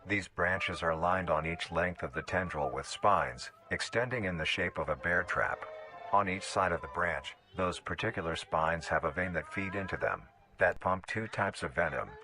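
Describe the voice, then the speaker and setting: A man's voice: deep voice, moderate pace. A man reading scripted documentary-style narration for a horror video in a formal, clinical way.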